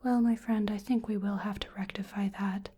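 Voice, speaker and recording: A woman whispering softly and breathily in an ASMR roleplay as a polite, curious researcher doing a gentle exam. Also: mid-range voice, steady tone. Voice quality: breathy whisper and soft speech. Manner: gentle, hesitant, polite, unhurried.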